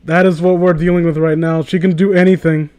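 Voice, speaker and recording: A man with a notably high voice hosts a comedic read-aloud. He narrates, then cuts in with sarcastic, incredulous riffs and casual swearing. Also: flat delivery, fairly quick, mellow, clean tone. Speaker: host reading a story aloud.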